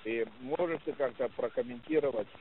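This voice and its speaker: A man's voice: mid-range voice, brisk, slight background noise. Male interpreter on a phone line. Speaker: translator for a boxer. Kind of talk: informal interpreting. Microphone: phone call. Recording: narrowband phone conference line.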